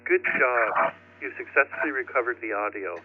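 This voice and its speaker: A man's voice: medium pitch, moderate pace. A man giving a short spoken message over a walkie-talkie radio. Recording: tinny, humming, noisy walkie-talkie radio signal.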